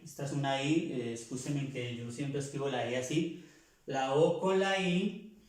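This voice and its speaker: A man from Latin America with a somewhat high voice speaks very slowly, walking learners through pronunciation rules. He repeats each point and stops to correct himself, and his voice rings in a very echoey room. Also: darkish tone. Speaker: French teacher. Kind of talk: explaining pronunciation lesson.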